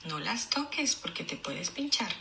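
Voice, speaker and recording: A woman teacher reading aloud to children in a deliberate, explanatory way, enunciating for young learners. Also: medium pitch, big pitch swings, creaky, pushed and bright, a little hiss or hum. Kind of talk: children's read-aloud lesson.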